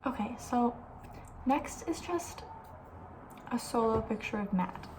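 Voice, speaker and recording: A woman speaking softly with breathy, near-whispered edges, chatting casually and rambling with playful opinions as an ASMR host. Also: a little high, expressive, takes it slowly, background noise. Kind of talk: soft-spoken ASMR casual chat.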